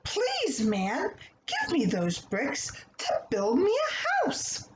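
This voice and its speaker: Lady doing little piggy from a storybook, super high squeaky n chirpy, plucky, roomy sound. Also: wildly swooping pitch, very slow, background noise, exaggerated voice. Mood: pleading. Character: small plucky male little pig, defiant and polite.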